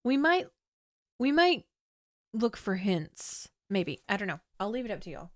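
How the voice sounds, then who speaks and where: Chatty young woman, slightly hoarse. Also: medium pitch, big pitch swings, dry recording, clean studio sound. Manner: chatty, thinks aloud, reads descriptions in a measured storytelling way. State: slightly hoarse, losing her voice. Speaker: streamer playing a text adventure. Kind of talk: casual game commentary, reading game text aloud.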